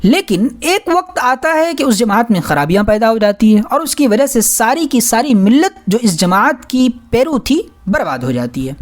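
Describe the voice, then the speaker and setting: A woman with a somewhat high-pitched voice narrates a scholarly audiobook. Her reading is scripted, formal and measured, as suits a serious religious text. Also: sing-song. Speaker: audiobook reader.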